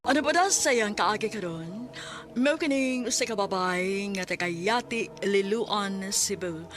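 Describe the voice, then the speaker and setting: A woman's voice: mid-range voice, unhurried. Woman narrating radio drama over music. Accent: Cebuano Filipino.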